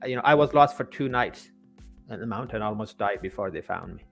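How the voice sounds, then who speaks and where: A man's voice: lowish voice, lively intonation, gravelly, faint music behind. A man from Afghanistan, a native Persian speaker, telling his story as an interview guest in an earnest way, often repeating words as he searches for the next. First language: Persian (Farsi). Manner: halting, stammering repetitions of words.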